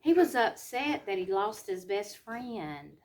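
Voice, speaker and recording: A woman reads a storybook aloud to children, pausing to explain simply and ask them questions. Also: medium pitch, sing-song, darkish tone. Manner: simple explanatory asides and questions to young listeners.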